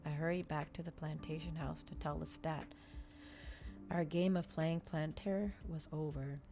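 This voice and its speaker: Low, creaky woman reading softly for ASMR. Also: steady tone, measured pace, over music. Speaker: ASMR streamer.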